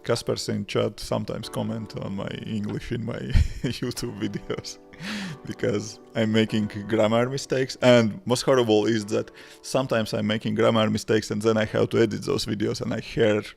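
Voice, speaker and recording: Gravelly low Latvian-accented man, unhurried. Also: flat delivery, darkish tone, faint music behind. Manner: simple halting English, restarts, unhurried. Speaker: livestreaming developer answering chat. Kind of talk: casual live-stream chat.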